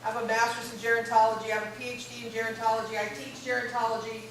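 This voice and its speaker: A middle-aged woman gives a relaxed, rambling talk in a strong, fairly high voice. She sounds distant, caught by a mic far across a very echoey college hall. A faint hiss of noise sits under her. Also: rapid-fire. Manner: strong voice, loose and chatty with many fillers. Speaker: gerontology lecturer. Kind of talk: informal symposium presentation.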